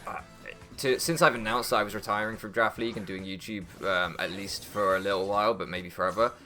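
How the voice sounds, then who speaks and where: A man's voice: lowish voice, fairly even intonation, a little fast, pushed and bright, faint music behind. A young man doing casual strategy game commentary, thinking aloud and deliberating as he goes, with hesitations. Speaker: gaming YouTuber.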